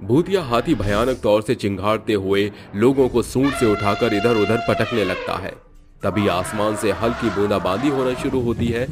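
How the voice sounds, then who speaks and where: A man's voice: on the low side, moderate pace. Guy telling a spooky cartoon story, music faint underneath. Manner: dramatic storytelling. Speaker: narrator. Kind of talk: scripted narration.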